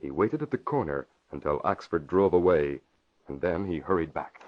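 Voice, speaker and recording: Low-voiced male radio-drama narrator. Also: expressive, normal speed. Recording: muffled vintage radio recording.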